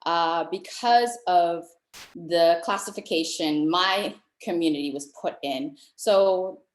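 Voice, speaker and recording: A woman's voice: lowish voice, slow pace, roomy sound. A woman from Southeast Asia speaking as a panelist, telling her story earnestly. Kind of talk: panel discussion.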